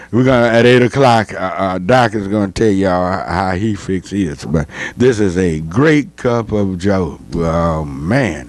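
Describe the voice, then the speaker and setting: An older man with a drawl hosts a call-in radio show, speaking into a studio mic in a folksy, enthusiastic way. His voice sits low. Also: no room sound. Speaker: radio talk show host. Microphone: studio mic on stand, headphones.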